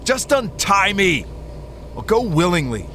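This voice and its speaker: A man's voice: sits high, sing-song, unhurried, pushed and bright, slight background noise, heavily acted. A man playing a hard-boiled, wisecracking detective in a noir audio drama, terse and sardonic. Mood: desperate.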